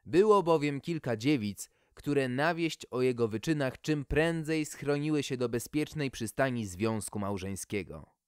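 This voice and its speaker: Male storyteller for a wry fairy tale, very varied intonation, measured pace. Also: mid-range voice, lively intonation, a little mellow, clean tone, dry recording, studio quality.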